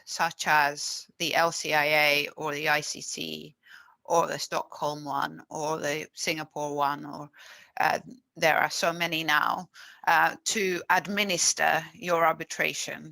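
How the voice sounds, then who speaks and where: Low, bright-voiced woman explaining. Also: creaky, dry recording. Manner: explanatory, with frequent fillers. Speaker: webinar panelist, lawyer. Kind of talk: webinar panel explanation.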